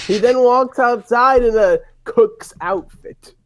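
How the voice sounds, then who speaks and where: A man narrating a playful dramatic script reading among friends, theatrical and quick to break off into asides. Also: extremely high pitch, very noisy. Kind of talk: playful group script read-through.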